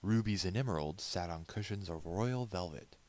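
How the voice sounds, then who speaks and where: A man reading a story aloud as scripted narration, in a measured, literary storytelling manner. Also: deep voice, a little mellow. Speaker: amateur audiobook reader.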